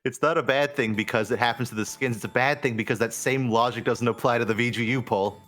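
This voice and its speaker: A man's voice: mid-range voice, steady tone, quick pace, a bit bright, faint music behind. A man in a casual podcast chat, arguing his opinions emphatically as a panelist.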